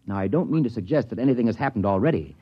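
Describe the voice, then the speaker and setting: A man's voice: mid-range voice, lively intonation, rapid-fire, breathy edge. An actor playing a worried middle-aged father, hesitant and guarded, in a 1950s radio drama. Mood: uneasy. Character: worried, evasive middle-aged father, discreetly informing on daughter. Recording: dull lo-fi 1950s radio recording.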